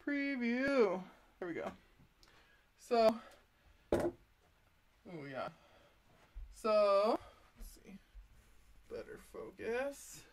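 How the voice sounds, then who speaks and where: A woman's voice: medium pitch, a little hiss or hum. A woman streaming live from her art studio, laughing often and fumbling with the setup as she chats. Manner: self-deprecating, giggly, fumbling with the tech. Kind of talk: casual live stream chat. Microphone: laptop, DSLR and chest-mounted webcam.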